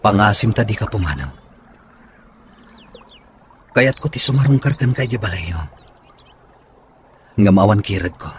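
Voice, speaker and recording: A man's voice: lowish voice, lively intonation, unhurried, pauses often, a little hiss or hum. A man with a Filipino accent playing an earnest, lovelorn young suitor in a radio drama. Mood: pleading. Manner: earnest, pleading. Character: lovelorn young man pleading with his sweetheart. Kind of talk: Ilocano radio drama acting.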